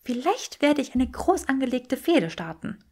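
A woman voicing an earnest, sharp-witted, bookish young woman in an audiobook, prim and mock-serious. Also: high-pitched, very animated, moderate pace, pitched above the performer's own voice, heavily acted. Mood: prim teasing.

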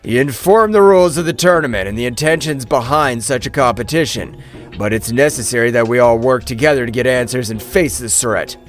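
A man's voice: medium pitch, a little fast, soft background music, voice pushed down, close to an everyday voice. A man voicing a solemn divine messenger who addresses the gods in an earnest, formal, declamatory way. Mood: resolute.

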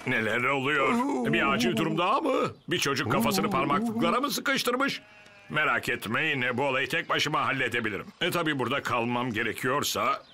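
A man's voice: mid-range voice, sing-song, fairly quick, background noise, close to an everyday voice. A man voicing an older, pompous and bumbling fire chief in a children's cartoon, hesitant and blustering. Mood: self-assured. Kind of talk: animated children's cartoon dub.

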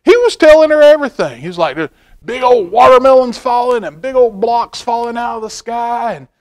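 A middle-aged man reading a picture book aloud to children in a folksy, expressive way, with chatty asides. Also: very high-pitched, fairly quick. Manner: folksy, expressive, asking the listener questions. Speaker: library storytime reader. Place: indoor library children's room.

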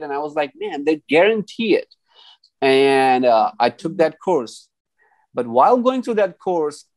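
A man's voice: mid-range voice, a little mellow, no room sound. A man from Pakistan in his thirties answers interview questions over a video call. He talks hesitantly, restarting often and leaning on "you know." He speaks through an earphone mic in a small room, and the sound is dry and close. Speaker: guest engineer. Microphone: earphone headset mic on video call.

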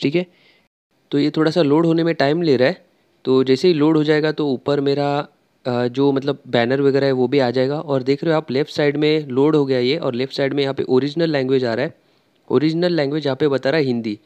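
A man's voice: medium pitch, darkish tone, clear, resonant tone, muffled recording. A man talking through a screen-recorded tutorial step by step, chatty and loose, with frequent filler sounds. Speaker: tech tutorial creator.

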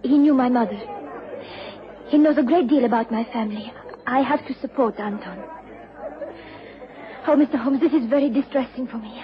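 A woman's voice: on the high side, moderate pace, pauses often, close to an everyday voice. An actress playing a distressed, pleading young foreign lady in a radio drama. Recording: dull, noisy radio broadcast, crowd murmur.

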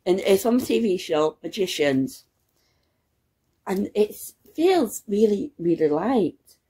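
A young woman, a student, chatting casually to her phone camera at home, her voice croaky from hay fever and her talk rambling and excitable. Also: medium pitch, takes it slowly. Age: young adult. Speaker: student vlogger. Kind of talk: casual vlog chat. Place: home, indoors. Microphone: phone camera at arm's length.

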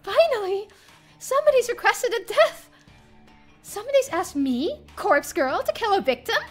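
Girl doing a creepy gleeful young villainess for a visual novel, high n giddy, super dramatic swings. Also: very animated, normal speed, faint music behind. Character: gleefully sinister young woman running a death-request website.

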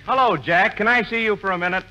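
An actor playing a folksy, slow-witted small-town mayor in a 1940s radio comedy. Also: male voice, sits high, bright, forceful tone, highs cut off.

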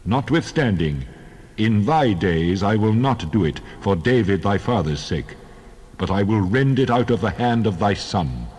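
An actor playing the God of Israel in a dramatized Bible reading, solemn, deliberate and commanding. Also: male voice, lively intonation, darkish tone, slightly rough. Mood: stern judgment. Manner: solemn, deliberate, authoritative pronouncement. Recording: booming long hall reverb.

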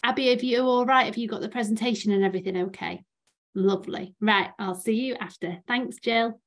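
A woman's voice: fairly high pitch, steady tone, fairly quick. A woman hosting an online session, talking in a friendly, reassuring and chatty way before introducing the speaker. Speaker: session host. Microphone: video call.

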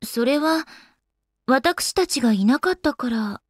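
A woman's voice: high voice, expressive, unhurried, husky, no room sound, studio quality, exaggerated voice. A woman voicing an elegant young goddess in a video game, with polite, ladylike, composed speech. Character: elegant young goddess, refined lady and avid gamer. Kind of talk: Japanese video game character voice acting.

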